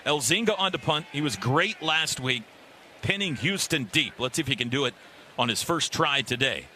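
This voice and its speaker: A man's voice: mid-range voice, lively intonation, moderate pace, sharp tone, a little hiss or hum. A man calling live radio football play-by-play from a stadium broadcast booth. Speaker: play-by-play announcer.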